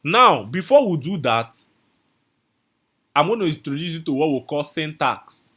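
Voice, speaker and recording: Bright-voiced man teaching a live class. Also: fairly high pitch, big pitch swings, measured pace, slightly rough. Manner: repetitive, coaxing, calls out viewer names. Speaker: online coding instructor. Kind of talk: live online class, reading chat.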